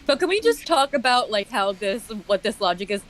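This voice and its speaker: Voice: mid-range voice, big pitch swings, a little fast, fairly bright tone, slight background noise, rough recording. A co-commentator giving casual, critical commentary in a group chat.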